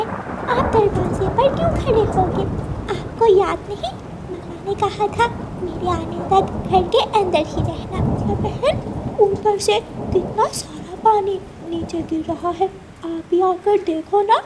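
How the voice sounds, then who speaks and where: A woman's voice: extremely high pitch, slow pace, noisy background, pitched above the performer's own voice. A woman voicing innocent young bird siblings with a childlike manner in a cartoon.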